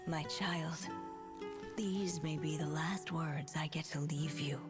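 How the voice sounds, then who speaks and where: Slow, tender dying storyteller. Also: female voice, sits low, steady tone. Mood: tender farewell. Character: gentle dying woman storyteller, tender motherly writer saying farewell. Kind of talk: dramatic video game voice acting. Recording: music behind the voice. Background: loud music.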